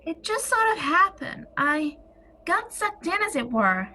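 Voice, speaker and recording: An actress playing a breezy, enthusiastic sister in an audio play, with a coaxing manner. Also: female voice, very high-pitched, big pitch swings, a little slow, bright, forceful tone, a little hiss or hum, exaggerated voice. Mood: defensive. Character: breezy, enthusiastic woman placating her cross sister.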